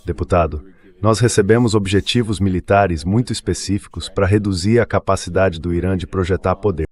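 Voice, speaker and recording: A man giving formal testimony as a military officer, measured and guarded. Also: low-pitched, a little mellow. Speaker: admiral testifying to lawmakers. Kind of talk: formal testimony, translated voice-over.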